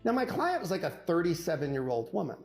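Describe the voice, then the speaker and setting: Animated male storyteller over music. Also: a little high. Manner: punchy, acts out quoted dialogue with dramatic pauses. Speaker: divorce lawyer telling an anecdote.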